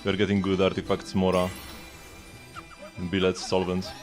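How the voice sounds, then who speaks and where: A man's voice: low voice, monotone, normal speed. A man chatting casually as he streams a game, rambling and repeating phrases as he goes. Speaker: live streamer. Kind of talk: casual livestream chat and gameplay commentary. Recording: music playing behind the voice.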